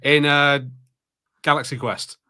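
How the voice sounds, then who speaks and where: Opinionated man with a slightly high voice. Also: little pitch movement, takes it slowly, bright, forceful tone, clean tone. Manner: opinionated, argumentative, casual swearing. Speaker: podcast host. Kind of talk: casual pop-culture podcast banter.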